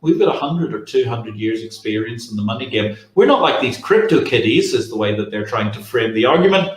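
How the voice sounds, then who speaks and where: A man's voice: medium pitch, big pitch swings, brisk. A man answering interview questions as an expert guest, explaining things in an emphatic, rhetorical, conversational way. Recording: roomy, echoey sound.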